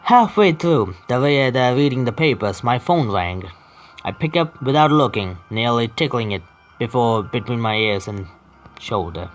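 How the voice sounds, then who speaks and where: Man reading game text aloud, halting. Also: medium pitch, expressive, a little hiss or hum. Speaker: gaming video host. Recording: faint game music behind. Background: faint video game music.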